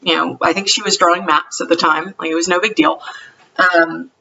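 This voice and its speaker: A woman's voice: on the low side, steady tone, moderate pace, gravelly. A woman chatting casually in a homemade show-and-tell vlog, rambling conversationally and correcting herself as she goes. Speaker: homeschooling parent vlogger. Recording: dull lo-fi, distant mic, faint noise.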